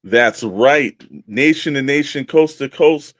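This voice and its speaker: A man's voice: mid-range voice, slow, a bit bright, dry recording. A man co-hosting a toy collecting radio show in casual chat, with informal, laid-back buddy banter.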